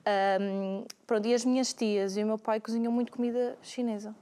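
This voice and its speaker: A woman with a Setúbal Portuguese accent, a soft-spoken guest chatting on a talk show and laughing easily. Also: fairly high pitch, level tone, moderate pace. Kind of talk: casual chat.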